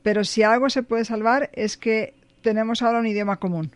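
A middle-aged woman from Spain with a Spanish accent, a language teacher talking in a relaxed group chat, reflective and often checking in with "¿no?". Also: fairly high pitch, brisk. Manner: reflective, frequent "¿no?" and "ujú". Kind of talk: casual panel chat.

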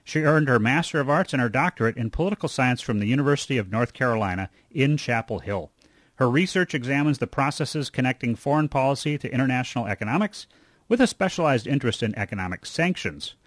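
Man, interview show host, medium pitch, measured formal delivery, dry studio sound. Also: dry recording. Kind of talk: introducing a guest and asking questions.

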